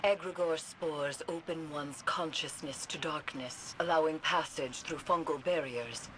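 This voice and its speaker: A woman's voice: sits low, unhurried, background noise. An actress playing a stern female ally guiding a soldier in a video game, speaking formally in clipped commands. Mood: grave, measured. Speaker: mission guide. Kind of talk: scripted video game voice acting. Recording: loud game music over the voice.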